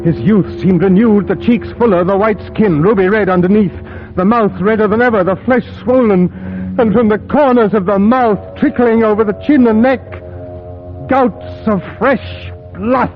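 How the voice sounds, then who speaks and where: Guy acting scared young man trapped and desperate, high voice, dramatic, muffled 1940s radio sound. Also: moderate pace, over music, natural voice. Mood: horrified. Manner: intense, dramatic. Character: desperate, frightened young male captive keeping a journal. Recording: dull 1940s radio recording.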